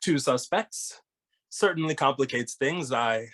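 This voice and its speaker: A man playing a serious, methodical ghost detective, formal and polite, in an animated comedy table read. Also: mid-range voice, unhurried. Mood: serious, composed.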